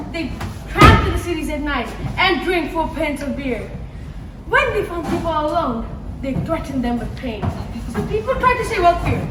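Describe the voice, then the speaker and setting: A woman playing a lively narrator, telling a rhyming tale in a stage pantomime. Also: high voice. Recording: echoey hall, distant mic, very noisy.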